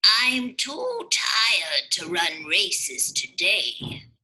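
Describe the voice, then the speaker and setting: A woman voicing a kind, gentle old man, a zookeeper, in an expressive, drawn-out storybook delivery. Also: mid-range voice, full character voice, low-quality recording. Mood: weary.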